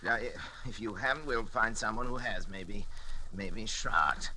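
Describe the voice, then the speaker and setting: An actor playing a tormented, obsessed middle-aged surgeon and father in a suspense radio drama, speaking in a halting, agitated stammer. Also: on the low side, noisy background, natural voice. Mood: uneasy coaxing. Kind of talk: 1940s suspense radio acting. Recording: old radio recording, music behind.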